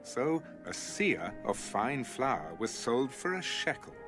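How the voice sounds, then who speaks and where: A man's voice: medium pitch, big pitch swings, slow. A man narrating scripture as a dramatized audio production. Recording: music under the voice. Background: background music.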